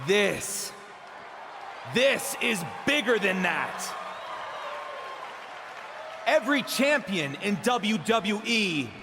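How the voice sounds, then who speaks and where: Cocky champion wrestler, emphatic halting promo, high swinging pitch, echoey arena with crowd. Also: male voice, animated, background noise, heavily acted. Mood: defiant. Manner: emphatic, halting phrases for effect.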